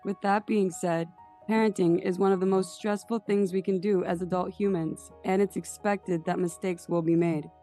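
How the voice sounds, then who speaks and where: Mellow-voiced woman podcast host. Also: on the low side, steady tone, moderate pace, faint music behind. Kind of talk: scripted introduction and interview questions.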